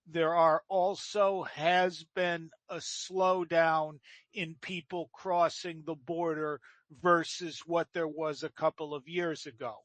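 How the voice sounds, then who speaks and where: Host, man, high voice, slow deliberate pace, dry close mic. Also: a bit bright, no echo. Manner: careful, qualifying statements. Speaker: podcast host. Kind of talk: casual political chat.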